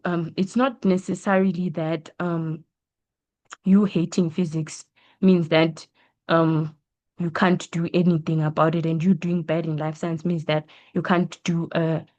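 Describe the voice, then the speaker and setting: A woman's voice: low-pitched, darkish tone. A young woman from South Africa, a science student, patiently reassuring a school pupil in a casual advice session, with frequent ums. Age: young adult. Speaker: science student answering questions. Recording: dull lo-fi audio.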